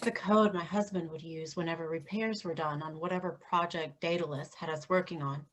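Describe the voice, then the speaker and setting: A woman's voice: deep voice, steady tone, brisk, lightly acted, low-quality recording. A woman playing a shrewd, wry engineer in a staged play reading.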